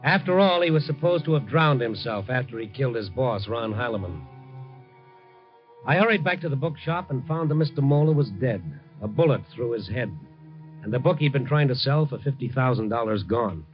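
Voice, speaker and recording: An actor with an American accent playing a wry, streetwise reporter, narrating in punchy 1950s radio drama style. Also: male voice, medium pitch, expressive, a little fast, soft background music, close to an everyday voice. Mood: tense narration. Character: brisk, wry, streetwise male newspaper reporter. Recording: muffled vintage 1950 radio recording.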